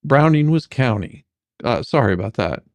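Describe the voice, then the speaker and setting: A performer playing a bumbling, sarcastic questioning attorney in a comedic deposition reading, halting and theatrical. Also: medium pitch, big pitch swings, dry recording, close to an everyday voice. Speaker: dramatic reader.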